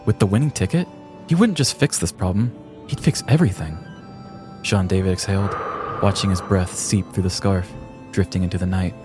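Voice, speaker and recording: A man narrating a scripted story as a podcast host, in a measured, dramatic manner. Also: deep voice. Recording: music behind the voice. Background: background music.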